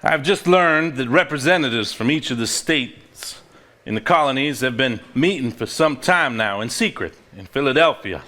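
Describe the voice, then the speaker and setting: A man's voice: mid-range voice, lively intonation, normal speed, pushed and bright. An actor on stage playing an earnest, level-headed married man who speaks seriously. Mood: solemn reading aloud. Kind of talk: live stage play acting, reading aloud from a journal.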